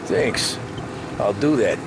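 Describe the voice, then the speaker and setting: An actor playing a laconic, businesslike American mob enforcer of the 1930s in a video game, terse and understated. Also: male voice, lowish voice, lively intonation, slow, very noisy. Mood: dry. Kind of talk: cinematic video game dialogue.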